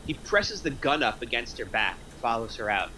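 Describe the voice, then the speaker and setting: A man's voice: medium pitch, big pitch swings, moderate pace, pushed and bright, a little hiss or hum, poor audio. A man narrating stage directions from a script at a table reading.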